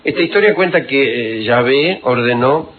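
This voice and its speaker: A man's voice: mid-range voice, lively intonation. Wry man telling old anecdotes. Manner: unhurried, wry, digressive, with many fillers. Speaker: radio host telling historical anecdotes. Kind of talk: rambling storytelling on radio. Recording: tinny phone-like radio recording.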